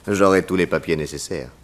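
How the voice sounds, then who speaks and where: Decisive private detective, lowish voice, brisk and polite, old French radio serial. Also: male voice. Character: brisk, confident male detective, courteous and decisive. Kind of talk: 1960s French radio mystery serial acting.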